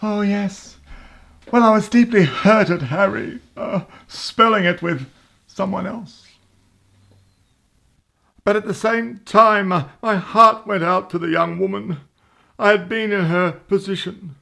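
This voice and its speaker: A woman plays a long-suffering mother in a comic radio soap. The voice is gravelly and halting, with dry exasperation and a streak of melodrama. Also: medium pitch, a bit bright. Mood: dry, dramatic. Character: exasperated, confessing mother of grown children.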